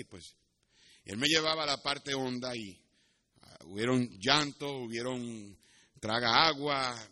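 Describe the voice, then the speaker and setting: A male pastor with a Puerto Rican accent delivers a sermon in a bright, forceful voice. His pitch sits in the middle range for a man. He explains things like a teacher and sometimes slips into English. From Puerto Rico. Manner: didactic, switches into English asides. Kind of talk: sermon with Bible teaching and personal anecdotes.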